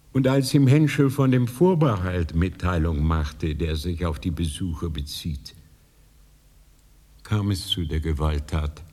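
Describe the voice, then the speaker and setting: A man playing an ancient, fatherly high lama in a radio drama, speaking in a solemn, deliberate storytelling manner. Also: a little mellow. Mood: grave, measured. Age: older. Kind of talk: 1980s German radio drama acting. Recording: long reverberant hall.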